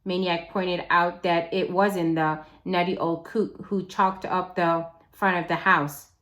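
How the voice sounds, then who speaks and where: A woman reading a children's novel aloud as a teacher would, in an expressive, deliberate storytelling way. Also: fairly low pitch.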